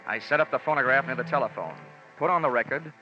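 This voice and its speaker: An actor narrating as a wry insurance investigator in a 1940s radio drama, with clipped first-person narration. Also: male voice, mid-range voice, fast, music in the background, natural voice. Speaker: narrator and lead detective. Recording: lo-fi old radio recording.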